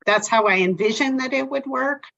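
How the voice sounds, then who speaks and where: A woman on the town staff explaining a procedure at a remote public meeting in a measured way, with some fillers. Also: high voice, gravelly, a bit bright. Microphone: video call. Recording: dull lo-fi video call audio.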